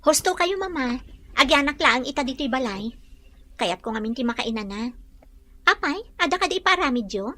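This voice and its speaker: A woman acting a bashful, warm-hearted young hospital worker in an Ilocano radio-style drama, earnest and expressive, with an Ilocano Filipino accent. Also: high voice, normal speed, pauses often, heavily acted.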